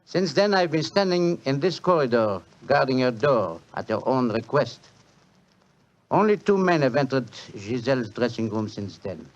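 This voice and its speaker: A man acts a suave French police inspector with a wry, urbane manner and a French accent. His pitch moves freely through each phrase, in a muffled old radio drama recording. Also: very animated, moderate pace, close to an everyday voice. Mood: stern, accusing. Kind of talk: 1940s radio drama acting.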